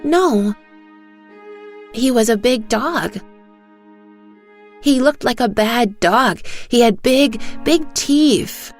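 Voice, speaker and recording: A sweet little girl, played by a woman, speaks high and earnestly, with childlike simple phrasing. She belongs in an audio storybook, unhurried and sincere, with music underneath. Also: expressive, exaggerated voice. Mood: frightened, serious. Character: sweet, earnest little girl telling her mother nightmares.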